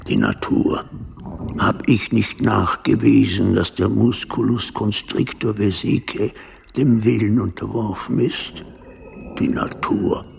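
An actor playing a pompous, fanatical doctor in a German radio play, hectoring and declamatory, lurching between muttering and shrill cries. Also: male voice, vocal fry, slight background noise, full character voice. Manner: declamatory, erratic swings from low mutter to shrill cry.

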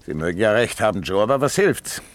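An actor playing a dutiful, troubled cavalry major in a German radio play, speaking in a formal, stubborn military manner. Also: male voice, fairly low pitch, big pitch swings, quick pace. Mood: stubborn resolve.

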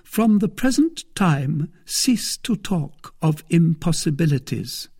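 A haughty, scheming noblewoman from a storybook , acted out by a male narrator. The voice is lifted high and swoops widely. She speaks deliberately and theatrically, seductive with a vindictive edge. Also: sing-song, warm tone, slightly rough, exaggerated voice. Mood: grave, resolute. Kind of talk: audiobook character acting of a classic novel.

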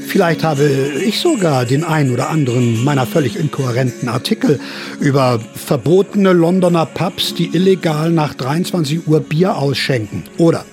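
A man's voice: on the high side, expressive, moderate pace, over music, natural voice. A man acting a sardonic, rambling veteran of the sixties counterculture who narrates a radio drama as an amateur sleuth, wry and theatrical. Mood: wry musing. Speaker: narrator and investigator.

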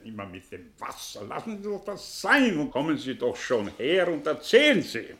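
An actor playing a sly, theatrical professor in a radio drama, mocking and needling with erratic emphasis. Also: male voice, a little high, big pitch swings, moderate pace, background noise. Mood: insistent. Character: sly, probing, theatrical older male professor.

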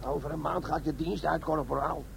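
An actor playing a self-important, grumbling bomb disposal sergeant with a crooked streak in a comic radio play. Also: male voice, on the high side, steady tone, brisk, vocal fry, a little hiss or hum. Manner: blustering, self-important, complaining. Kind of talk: comic radio drama acting.

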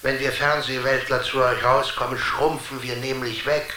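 An actor playing a kindly, scheming being from a television world in a radio drama, speaking colloquially and conspiratorially. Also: male voice, medium pitch, little pitch movement, moderate pace, bright, forceful tone, lightly acted. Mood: assured, confiding. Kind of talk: German radio drama acting. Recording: roomy sound with faint hiss.

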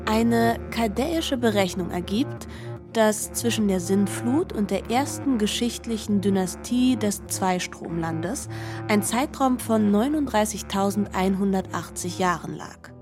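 A woman reading literary narration for radio, measured and articulate. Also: medium pitch, music in the background.

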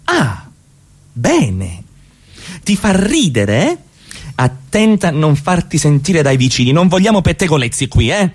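An actor playing an ironic, needling middle-class husband in a radio play, teasing and full of pointed questions. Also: male voice, fairly high pitch, big pitch swings, unhurried. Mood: dry, needling. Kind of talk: Italian radio theatre acting.

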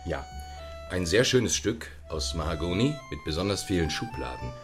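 An actor playing an obliging junk dealer in a radio play, deferential and salesmanlike. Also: male voice, deep voice, big pitch swings, moderate pace, over music. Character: obliging male junk dealer selling old furniture.

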